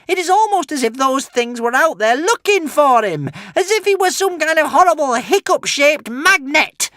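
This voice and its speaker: Unhinged old witch, shrill and far up the register, put on by a male reader. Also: expressive, normal speed, fairly bright tone, no echo, clean studio sound. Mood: frantic. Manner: shrill, frantic cursing. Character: cackling mad old witch woman.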